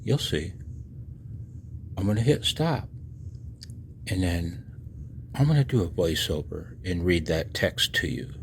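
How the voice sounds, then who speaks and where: A man in his sixties giving a personal voice-over announcement, halting and reflective, with a husky low voice. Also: slight background noise. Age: 60s. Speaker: amateur radio hobbyist and video narrator. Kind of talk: personal voice-over announcement, partly read from own text.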